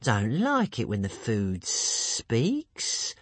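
Huge dim monster, drawling very slowly, hissing and husky, sulky menace for a children's story. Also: male voice, mid-range voice, huge pitch swings, no room sound, exaggerated voice. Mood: sullen menace. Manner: slow, drawn-out, musing, simple broken grammar. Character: huge slow-witted male tentacled cave monster, menacing, sulky. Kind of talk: children's audiobook character voice.

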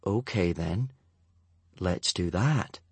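A man's voice: on the high side, very animated, normal speed, slightly rough, dry recording, pitched above the performer's own voice. A man voicing an anxious young Viking boy who frets and pleads. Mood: worried. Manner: fretful, pleading.

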